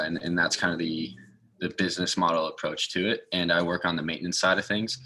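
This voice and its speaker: Guy with a low voice, pretty even tone, explaining stuff as an interview guest. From northeastern United States. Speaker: guest speaker, garden maintenance assistant. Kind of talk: informal interview, explanatory talk.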